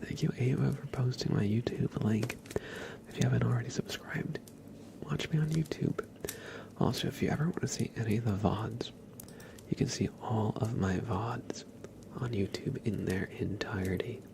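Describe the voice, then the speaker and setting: A man hosting an ASMR livestream, chatting casually and gently to viewers in a breathy whisper. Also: moderate pace, background noise. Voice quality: whispered, breathy, with some soft creaky low voicing. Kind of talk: ASMR, soft casual chat to live viewers.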